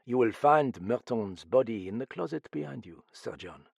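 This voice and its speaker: A man voicing a calm, commanding French detective in a mystery story, speaking in a grave, measured and pointed way. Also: medium pitch, creaky, husky, no room sound. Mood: quiet finality.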